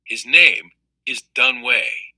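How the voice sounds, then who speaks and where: An earnest, determined schoolgirl from a storybook, read by a man. The voice is very bright with a husky edge, speaking firmly in a dry, close recording. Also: medium pitch, expressive, slow, dry recording, exaggerated voice, poor audio.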